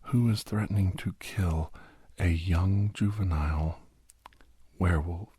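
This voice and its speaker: A man playing a grave, haunted doctor and war veteran in an ASMR horror audio drama, speaking slowly in a breathy, husky hush that often slips toward a whisper. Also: deep voice, fairly even intonation, no room sound, full character voice. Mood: fearful, haunted. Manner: slow, halting, hushed, often slipping into whisper.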